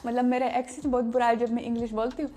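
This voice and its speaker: Young woman vlogger, Russian accent, non-native speaker, halting word-searching delivery, warm higher voice over faint music. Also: little pitch movement. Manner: halting, searches for words, many restarts. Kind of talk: casual chat to camera.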